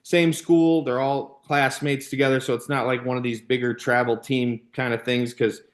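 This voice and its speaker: A man's voice: medium pitch, flat delivery, normal speed. American man rambling through casual stories. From Illinois, United States. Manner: rambling, peppered with "you know", "like" and "man". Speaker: podcast guest, former baseball player and youth coach. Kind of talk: casual anecdotal storytelling.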